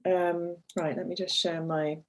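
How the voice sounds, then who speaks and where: A woman hosts an online webinar, her voice a little croaky from a cold. She chats through the details at an easy pace, filling pauses with ums and the odd cleared throat, heard through a video call mic. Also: fairly low pitch, flat delivery. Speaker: webinar host. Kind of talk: presenting a product webinar.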